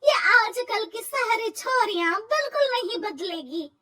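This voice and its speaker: A woman voicing a stubborn old village mother-in-law in a cartoon story, exclaiming loudly in rustic speech. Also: very high-pitched, expressive, unhurried, exaggerated voice. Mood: scolding.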